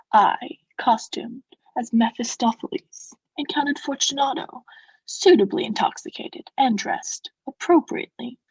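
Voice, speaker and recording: A young woman playing a coldly vengeful noble who feigns friendship, in a measured, formal, insinuating theatrical radio-play style. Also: a little high, darkish tone, husky, no echo, poor audio. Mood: sly recounting. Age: young adult. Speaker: student actor.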